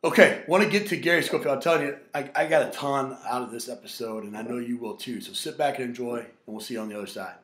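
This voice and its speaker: A man's voice: medium pitch, fast talker, hardly any pauses, fairly bright tone, roomy sound. A man hosting a strength coaching podcast, talking with energy and enthusiasm. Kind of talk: podcast interview and promo.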